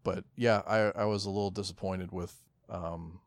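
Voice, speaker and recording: A man with a low voice co-hosts a casual podcast. He talks in a halting, self-deprecating way, feeling his way through his thoughts. The recording is dry, with no room sound. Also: steady tone, no echo. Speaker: podcast co-host. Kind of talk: casual chat.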